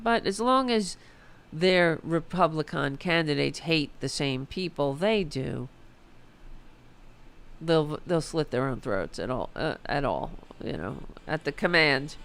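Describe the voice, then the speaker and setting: Raspy-voiced, indignant woman host. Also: low-pitched, animated, slow. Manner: sarcastic, indignant, profane. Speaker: political commentary host. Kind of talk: political commentary reading an article aloud.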